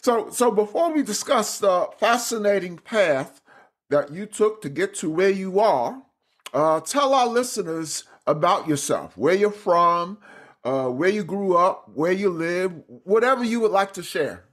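High-pitched male podcast host. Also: animated, measured pace, a bit bright. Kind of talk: podcast interview, scripted introduction.

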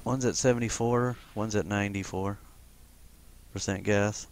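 Low-voiced man chatting while gaming. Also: steady tone, normal speed. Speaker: gaming video co-host. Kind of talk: casual co-op gameplay chat. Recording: background music over the voice. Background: loud music.

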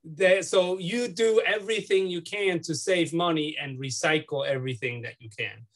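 A middle-aged man from Switzerland with a Swiss accent, interviewing someone over a video call from his home office, talking haltingly with many fillers and restarts. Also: on the high side, a bit bright. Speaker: student interviewer. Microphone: laptop webcam on video call.

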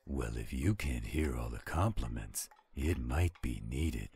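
A rumbling bass, thick with gravel performed by a man, plays the loyal male friend in a roleplay drama. He is pushy and teasing, and takes his time with every line. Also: measured pace, soft background music, heavily acted. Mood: teasing, insistent. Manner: steady, teasing, insistent.

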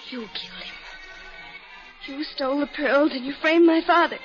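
A woman's voice: high-pitched, normal speed, natural voice. An actress playing a distraught young woman who uncovers a murderer in a 1940s radio drama. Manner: emotional, urgent, breathless pleas. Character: distraught young woman, heiress accusing killer. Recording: dull old radio with music.

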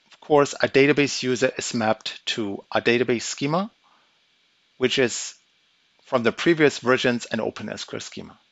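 A man giving a methodical, step-by-step walkthrough of a software demo, explaining each action as he goes. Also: mid-range voice, dry recording. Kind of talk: screen-recorded software walkthrough. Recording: dry, slightly dull audio.

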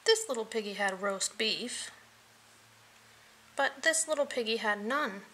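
A woman reads a children's picture book aloud with playful expression. Her voice is bright and fairly high, and she takes her time over the words. There is some light noise in the recording. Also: unhurried. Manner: expressive, playful, with vocal sound effects.

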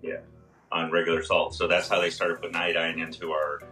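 A hoarse man in his fifties, a pharmacist explaining health topics on a video call, step by step with many fillers. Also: deep voice, little pitch movement, a little fast, pushed and bright, far from the mic, soft background music. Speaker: pharmacist guest on a radio show. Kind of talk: casual health talk. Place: small room indoors.

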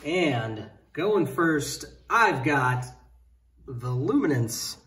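A man in his thirties, a little high-pitched, talks excitedly as he reveals trading cards one after another. He sits at some distance from the mic in an indoor room, so his voice sounds a touch far off. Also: extremely slow. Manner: excitable, hyping each card pull. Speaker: co-host opening card packs. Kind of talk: casual card-opening chat.

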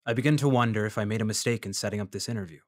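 An actor playing a polite, measured freelance journalist who narrates his investigation in a fiction podcast. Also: fairly low pitch, no echo, studio quality, lightly acted. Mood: somber, observant. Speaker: narrator and lead character.

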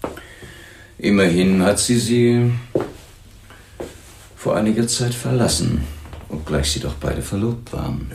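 A man acting in a radio drama as a grave police lieutenant who questions suspects in a deliberate, insistent way. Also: lowish voice, gravelly, a little mellow, echoey room, slight background noise. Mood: probing, insistent.

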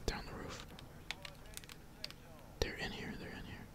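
A gamer whispering slangy, laid-back ASMR commentary while playing, with casual swearing. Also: unhurried. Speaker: gaming streamer.

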